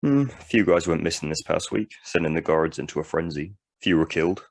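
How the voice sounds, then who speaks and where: A man acting in an audio drama as a surly, hardened convict, gruff and terse in his talk. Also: deep voice, fairly quick. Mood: surly, indifferent. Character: surly, hardened male long-term prisoner, convicted killer.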